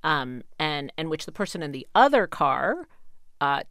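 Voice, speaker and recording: A woman's voice: low voice, sing-song, unhurried, a bit bright, no room sound, clean studio sound. A woman talking casually as a podcast host being interviewed, explaining ideas in an informal, wry way and thinking aloud. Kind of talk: animated, conversational explaining.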